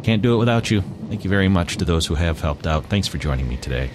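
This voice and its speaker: A man's voice: low-pitched, expressive, fast, noisy background. A man hosting a podcast, giving an informal spoken sign-off. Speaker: podcast host.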